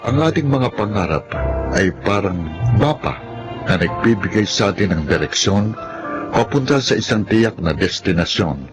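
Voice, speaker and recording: An actor playing an earnest, preachy younger brother, a new graduate, in a Filipino radio drama. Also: male voice, lowish voice, lively intonation, moderate pace, over music, close to an everyday voice. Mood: solemn, encouraging.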